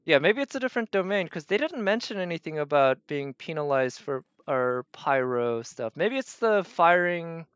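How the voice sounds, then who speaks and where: A man's voice: fairly high pitch, expressive, normal speed, few pauses, a bit bright, clean tone, no echo, muffled recording. A man doing casual live game commentary, thinking aloud and trailing off as he goes. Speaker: game streamer.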